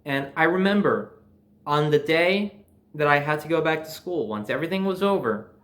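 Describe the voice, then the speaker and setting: Young guy, voice a bit on the high side, casual storytime talk, animated and sweary. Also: moderate pace. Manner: animated, sweary, acts out quoted dialogue.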